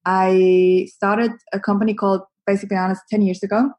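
A woman's voice: mid-range voice, moderate pace, dull audio. Chatty woman with a Swiss accent. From Switzerland. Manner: animated, chatty, laughs often. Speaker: podcast guest, marketing agency founder. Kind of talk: conversational interview.

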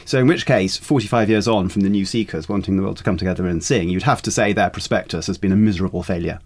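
A man's voice: low voice, fast, a little mellow. A man, a pop critic guest on a podcast, speaking in a wry, articulate and formal way. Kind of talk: podcast discussion.

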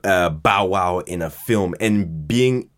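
A man chatting casually as a podcast guest, halting and often repeating words as he explains his ideas. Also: sits low. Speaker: podcast guest, writer and creator of a fiction podcast. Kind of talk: casual interview chat.

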